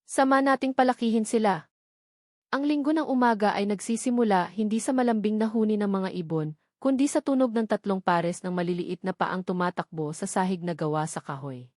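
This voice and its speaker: A woman's voice: medium pitch, fairly quick, darkish tone, dry recording. Filipino woman narrating, close and dry. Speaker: story narrator. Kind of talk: scripted dramatic romance storytelling narration.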